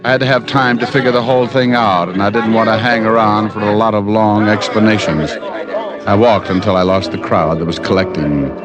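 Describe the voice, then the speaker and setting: An actor playing a wisecracking private detective, narrating in an old radio drama. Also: male voice, fairly low pitch, steady tone, normal speed, over loud music, natural voice. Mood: grim. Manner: dry wisecracking, clipped narration. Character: hard-boiled male private eye, wry. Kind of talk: 1950s radio drama acting.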